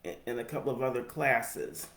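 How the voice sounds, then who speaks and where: An American man in his forties with a speech impediment, giving a personal reflective narration in halting, deliberate phrases. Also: medium pitch, steady tone, fairly bright tone. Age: 40s. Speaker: higher education professional telling own story. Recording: roomy, echoey sound.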